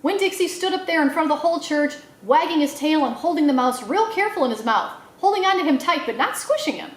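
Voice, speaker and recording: A woman reading a children's novel aloud in an expressive storytelling style, acting out the dialogue as she goes. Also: sits high, fast, echoey room. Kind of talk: animated read-aloud narration for children.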